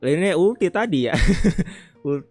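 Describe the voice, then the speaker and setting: Indonesian gamer reacting casually to a game, slangy, mid-pitched, faint music under it. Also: expressive, measured pace, a little mellow. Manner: slangy, informal. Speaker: gaming video creator. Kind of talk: casual gameplay reaction commentary.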